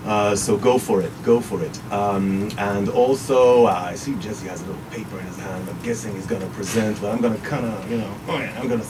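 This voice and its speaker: A man with a cold talks in a stuffy, nasal voice, wandering off on tangents and poking fun at himself. He is not a native English speaker and co-hosts a college radio show. The mic sits some way off, and steady noise hums behind him. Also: on the low side, a little fast, few pauses. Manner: chatty, digressive, self-deprecating. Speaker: economics professor co-hosting a radio show. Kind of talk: casual radio chat. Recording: distant mic with noisy background.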